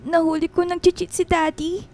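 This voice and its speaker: Very high-voiced young woman. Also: flat delivery, normal speed, darkish tone, slight background noise. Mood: tearful, hurt. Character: young woman, troubled friend, tearful and vulnerable. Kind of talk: Filipino radio drama acting.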